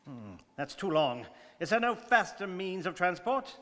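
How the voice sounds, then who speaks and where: A man plays a phlegmatic English gentleman in a radio play, curt and courteous. The voice is high and bright, set in long hall reverb. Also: big pitch swings, unhurried, exaggerated voice. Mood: curt, unruffled. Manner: curt, precise, unruffled. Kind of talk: old-time radio drama acting.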